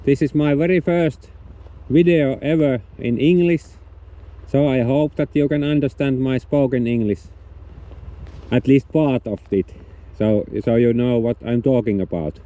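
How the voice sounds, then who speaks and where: A man from Finland speaking English with a Finnish accent, non-native and halting, explaining outdoor gear in a casual vlog. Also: mid-range voice, mellow, roomy sound. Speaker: outdoors vlogger. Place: outdoors on a frozen lake, later indoors.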